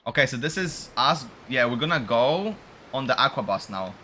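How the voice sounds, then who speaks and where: A man's voice: mid-range voice, lively intonation, moderate pace, sharp tone, slight background noise. A man streaming a game, chatting casually and informally with slangy live commentary. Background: game music.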